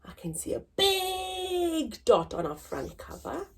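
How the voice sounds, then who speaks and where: Animated, slow-paced woman reading to children. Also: very high voice. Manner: expressive, deliberate, sing-song delivery for small children. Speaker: children's story time host and reader. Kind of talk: animated read-aloud for young children.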